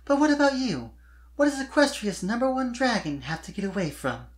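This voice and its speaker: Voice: medium pitch, sing-song, brisk, pitched above the performer's own voice, lightly acted. A performer voicing an exasperated young female pony pop singer from a storybook, wry and wistful, with dramatic, emphatic, teasing delivery.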